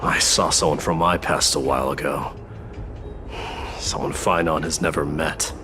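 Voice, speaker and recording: An actor playing a stern young male warrior prince in a game, speaking in a calm, reserved, clipped way. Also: rumbling low, moderate pace. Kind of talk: game voice acting. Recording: music under the voice.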